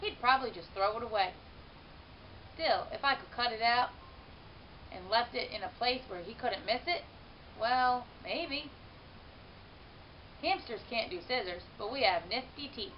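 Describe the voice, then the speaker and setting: A woman's voice: on the high side, measured pace, long pauses. Woman reading a storybook through muffled hiss. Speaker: teacher reading a children's book aloud. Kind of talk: expressive storybook narration. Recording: muffled, hissy home-style recording.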